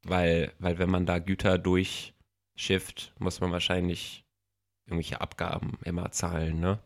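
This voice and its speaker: A man's voice: low voice, monotone, a little slow. A young man co-hosting a casual podcast, playfully teasing his co-host. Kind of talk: casual chat.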